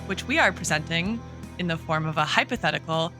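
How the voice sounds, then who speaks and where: A woman's voice: low voice, a little fast, music in the background. A woman co-hosting a chatty podcast, asking questions in an articulate, playfully formal way. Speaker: podcast co-host interviewing her co-host. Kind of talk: chatty podcast discussion, mock-formal interview.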